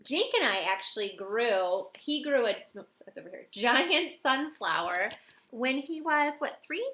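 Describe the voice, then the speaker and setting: A middle-aged woman reading a children's picture book aloud in an expressive way with dramatic emphasis. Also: a little high, a little slow. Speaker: storybook reader.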